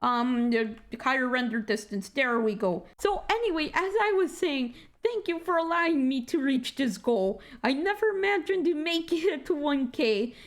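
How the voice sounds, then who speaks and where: Squeaky high-voiced woman rambling through a tour. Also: animated, moderate pace, hardly any pauses. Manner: rambling, self-correcting, joking asides. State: tired. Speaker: gaming channel host. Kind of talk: casual game walkthrough commentary. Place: indoor room at a computer.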